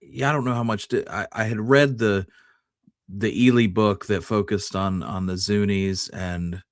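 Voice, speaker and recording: A man's voice: on the low side, steady tone, a little slow, no room sound, clean studio sound. A man co-hosting a history podcast, chatting casually and conversationally. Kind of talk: casual chat.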